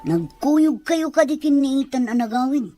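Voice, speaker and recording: High-pitched nagging older woman played by a woman. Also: expressive, moderate pace, mellow, soft background music. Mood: inquiring. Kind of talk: Ilocano radio-style serial drama acting.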